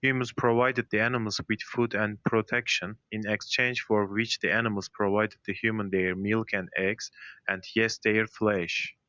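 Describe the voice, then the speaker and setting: A man narrates a written passage in a fairly low, forceful voice with a clean, resonant tone. The audio is a touch dull. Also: little pitch movement, normal speed, no room sound. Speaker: exam passage reader. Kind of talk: scripted read-aloud narration.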